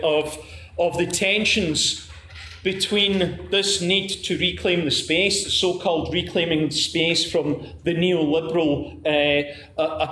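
A middle-aged Scottish man with a Glasgow accent gives a conference talk, pausing on fillers and restarting phrases. His voice sits slightly high. The room is echoey with a faint hiss underneath. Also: pushed and bright. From Scotland. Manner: measured, frequent uh fillers and restarts. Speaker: conference presenter. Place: lecture room at a podium, standing.

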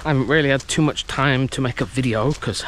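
A man at a middling pitch gives a nervously excited walking commentary as he explores an abandoned fort. His talk is chatty and full of exclamations. It is recorded outdoors on a handheld camera mic, with clear background noise and handling clicks. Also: quick pace. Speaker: travel vlogger. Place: outdoors at an abandoned coastal military base in the heat.

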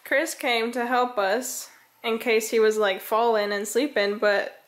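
A woman's voice: a little high, fairly even intonation, measured pace. A woman chatting casually from behind the camera in a home vlog. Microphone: behind the handheld camera. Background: music.

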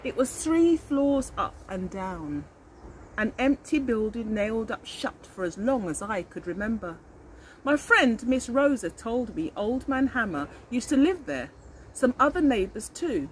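An older woman teacher reading a picture book to young children expressively, pausing to explain and ask questions. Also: fairly high pitch, sing-song, poor audio. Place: outdoors in a leafy garden. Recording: outdoor garden recording with birdsong and noise.

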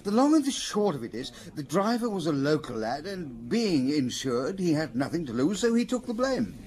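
An actor playing an affable, roguish village doctor in a radio drama, talking in a jovially evasive, hesitant way. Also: male voice, a little high, big pitch swings, normal speed, darkish tone. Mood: evasive, sheepish.

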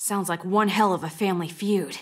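A woman's voice: lowish voice, steady tone, brisk, lightly acted. A woman voice actor playing a tough, brash young woman fighter in an anime game, talking bluntly and informally. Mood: brash, offhand. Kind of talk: English anime game voice acting.

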